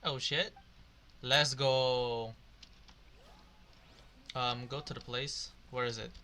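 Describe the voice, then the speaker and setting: Slow, bright-voiced young man gaming. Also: medium pitch, fairly even intonation. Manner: slangy, muttering asides. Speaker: gaming streamer reacting to a story quest. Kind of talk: casual gameplay commentary.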